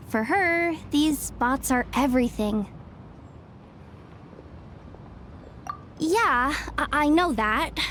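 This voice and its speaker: A woman's voice: high-pitched, a little hiss or hum. A woman voicing a street-smart young female informant in a video game cutscene, wry, self-assured and conversational. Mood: hesitant, explaining. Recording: music behind the voice.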